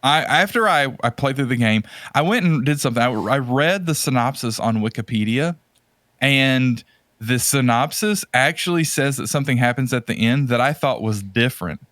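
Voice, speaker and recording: A man's voice: mid-range voice, expressive, moderate pace, a bit bright, dry recording, studio quality. A man co-hosting a podcast in a casual, opinionated and rambling conversational style. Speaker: podcast co-host. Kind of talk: casual chat about video games.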